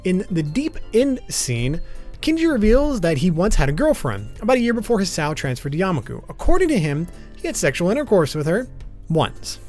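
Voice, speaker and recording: A man's voice: high voice, sing-song, a little fast, a little mellow. A man narrating informal scripted video commentary in a casual way, with wry asides. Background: background music.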